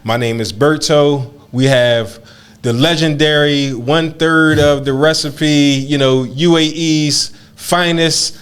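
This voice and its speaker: Podcast host guy, clear smooth voice, hyped and slangy, lots of "man" and "bro". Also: a little high, fairly even intonation, takes it slowly, fairly bright tone. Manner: enthusiastic, slangy, friendly. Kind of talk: casual podcast interview.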